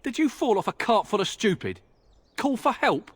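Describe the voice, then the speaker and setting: An actor playing a gruff, mocking, irritable castle guard in a fantasy video game. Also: male voice, high voice, sing-song, normal speed, heavily acted. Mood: scornful. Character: gruff, scornful, foul-mouthed castle guard. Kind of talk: video game cutscene acting.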